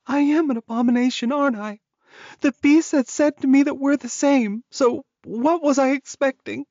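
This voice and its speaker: A man's voice: moderate pace, a little mellow, no echo, highs cut off. A man voicing an anxious, emotional young werewolf heroine in an audiobook, exclaiming in distress. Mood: anguished. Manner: high-pitched, exclaiming, distressed.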